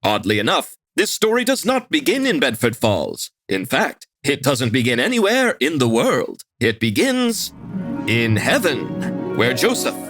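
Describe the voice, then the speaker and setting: A man's voice: medium pitch, huge pitch swings, unhurried, fairly bright tone, dry recording, studio quality, full character voice. A man playing a grand old-time radio announcer, narrating from a script. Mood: grand, welcoming. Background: music.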